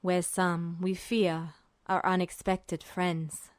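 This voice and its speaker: Storybook role: an inscrutable military man, low-voiced, slow, cool and clipped performed by a woman. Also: level tone, dry recording, studio quality. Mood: cool, cryptic. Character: composed, inscrutable adult male military superior.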